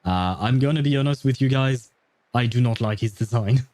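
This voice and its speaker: A man's voice: medium pitch, moderate pace, darkish tone, no room sound. A man casually commentating on a game stream, with a blunt, wry and often profane manner, close to the mic. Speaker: livestreamer.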